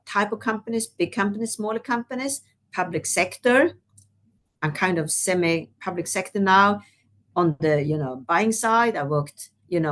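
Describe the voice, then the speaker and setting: A woman from Sweden, speaking as a guest executive in a webinar discussion, talking conversationally with many "you know"s. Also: mid-range voice. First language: Swedish.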